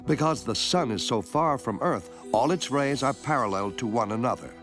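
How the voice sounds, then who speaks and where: A man giving a measured, scripted narration for an educational film, explaining things clearly step by step. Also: medium pitch, animated, music in the background. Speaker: documentary narrator.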